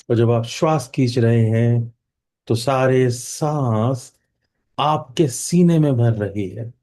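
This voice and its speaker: A man with an Indian accent leading a guided meditation in a soothing manner, leaving long pauses between phrases. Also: mid-range voice, warm tone.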